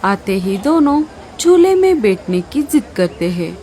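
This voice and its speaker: A woman's voice: medium pitch, big pitch swings, unhurried, warm tone, a little hiss or hum. A woman narrating a scripted children's story.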